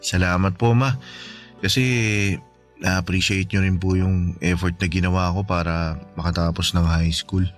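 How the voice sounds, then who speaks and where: An actor playing a polite, devoted teenage son in a Filipino radio drama, speaking respectfully, earnestly and with some hesitation. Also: male voice, low-pitched, steady tone, normal speed, pauses often, natural voice. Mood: earnest, grateful. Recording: music bed under the voice.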